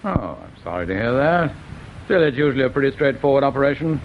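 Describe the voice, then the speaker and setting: Man playing posh police chief, hearty old-boy type, chummy, bouncy pitch, bit muffled radio drama. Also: expressive, a little hiss or hum, lightly acted. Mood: hearty, brisk. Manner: brisk, chummy, one side of telephone call. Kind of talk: British radio drama acting.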